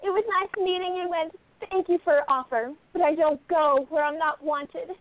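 A woman playing a composed, shrewd female sleuth in a radio play, with deliberate and rather formal phrasing. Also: extremely high pitch, steady tone, no room sound. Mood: gently reassuring. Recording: thin, phone-like band-limited audio.

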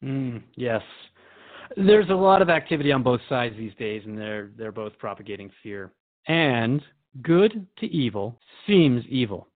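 An earnest radio interviewer played by a man questions his guest in a radio drama. He sounds conversational and a little unsettled, hesitating as he searches for answers. His mid-pitched voice comes through dull and lo-fi. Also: very animated, measured pace. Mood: thoughtful.

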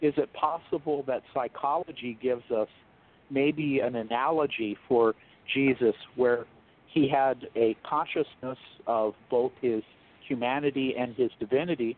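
Scholarly man on a thin phone line. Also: mid-range voice. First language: English. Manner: measured, thoughtful, scholarly. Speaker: guest theologian and author. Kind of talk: explanatory interview talk.

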